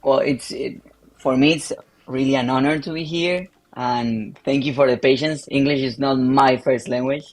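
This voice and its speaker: A Spanish-speaking pastor from Argentina with an Argentine accent, speaking English in a panel conversation, halting with many fillers. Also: male voice, mid-range voice, fairly even intonation. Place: livestream call.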